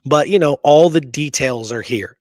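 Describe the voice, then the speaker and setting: A man's voice: on the high side, moderate pace, darkish tone, no echo, clean studio sound. Informal, emphatic man hosting a history talk. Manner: informal, emphatic, checks in with "okay?" and "you know?". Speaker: history show host. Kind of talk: conversational commentary on history, reading accounts aloud.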